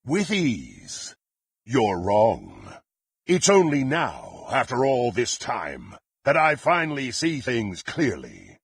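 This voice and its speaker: An actor playing a power-hungry, contemptuous dark warrior in a fantasy video game, with a gravelly, husky voice and haughty, theatrical, archaic phrasing. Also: male voice, mid-range voice, huge pitch swings, slow pace, no echo, full character voice. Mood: intense, imperious.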